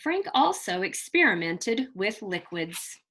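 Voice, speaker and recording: A woman teacher reading a picture book aloud to children, expressive and deliberate, pausing to put questions to her young listeners. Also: mid-range voice. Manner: deliberate, emphatic delivery addressed to children.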